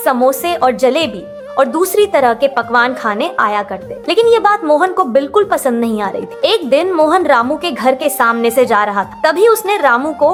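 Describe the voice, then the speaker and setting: A woman's voice: sits high, big pitch swings, normal speed, soft background music. A woman reading scripted narration for a children's moral story as its storyteller.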